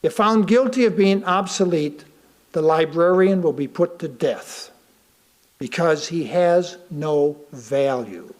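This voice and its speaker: An American man with a high voice delivers a written sermon. His pace is slow and deliberate, and he leaves pauses to let key phrases land. He puts rhetorical questions to his listeners as he reads. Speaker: lay reader delivering a sermon. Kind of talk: sermon read aloud to a congregation.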